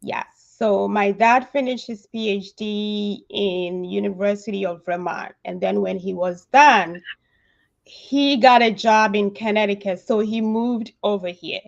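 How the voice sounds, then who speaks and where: A woman's voice: medium pitch, measured pace, creaky, lo-fi sound. A woman in her thirties with a Ghanaian accent, telling her own story casually as an interview guest, repeating words for emphasis. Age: 30s.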